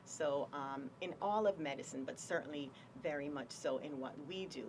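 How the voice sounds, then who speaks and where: A woman from Jamaica, a doctor explaining her work in a radio health interview, measured and full of pauses. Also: low-pitched, a little mellow, distant mic, slight background noise, rough recording. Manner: measured, explanatory, frequent ums. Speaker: infectious diseases doctor, guest.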